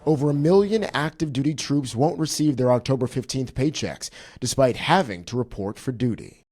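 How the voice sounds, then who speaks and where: Mellow-toned male TV reporter. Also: mid-range voice, expressive, normal speed. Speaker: news reporter. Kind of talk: scripted news report voice-over.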